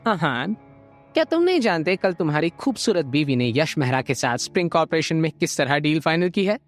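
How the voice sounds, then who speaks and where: A woman's voice: low voice, sing-song, a little fast, soft background music. A woman acting a spiteful, haughty young schemer in an audio drama, with a mocking, sneering manner. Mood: sly, taunting.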